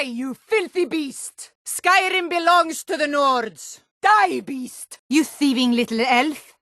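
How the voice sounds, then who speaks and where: A woman's voice: fairly high pitch, a bit bright, dry recording, studio quality. An actress playing a stern, suspicious, hot-tempered Nord townswoman in a fantasy video game, speaking in a blunt, challenging way. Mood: battle fury. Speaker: game character voice.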